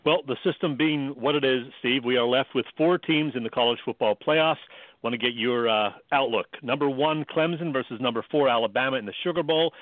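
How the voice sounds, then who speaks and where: A man's voice: medium pitch, a little fast, no room sound. A man co-hosting a sports radio show, putting questions to a guest in a conversational style. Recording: narrow phone-like sound.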